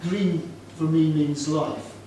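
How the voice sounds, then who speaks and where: Brief: Scottish man in his sixties, high voice, unhurried, joking about himself; distant, echoey room with noise behind. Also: steady tone, dark tone. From Scotland. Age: 60s. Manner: self-deprecating, chatty, asks the audience questions. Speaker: storyteller presenting his artwork. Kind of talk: informal talk to students.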